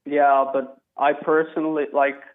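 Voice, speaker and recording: A young man from Flanders in Belgium calling in to a talk show, speaking haltingly with many fillers and restarts. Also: on the high side, little pitch movement. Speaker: caller. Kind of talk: phone-in call. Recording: tinny phone line.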